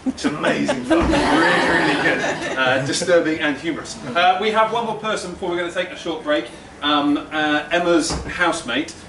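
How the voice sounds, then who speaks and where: A man's voice: on the high side, expressive, normal speed, hardly any pauses. Guy mc'ing a story night, hesitant ums, far mic with noise behind. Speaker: event host. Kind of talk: introducing a speaker. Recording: echoey room, distant mic, noisy.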